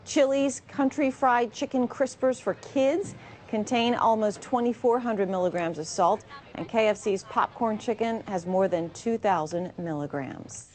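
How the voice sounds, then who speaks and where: A woman anchoring local TV news, reading stories in a crisp, even newsreader delivery from the studio. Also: on the high side, a little slow, a little hiss or hum. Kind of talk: read local TV news copy.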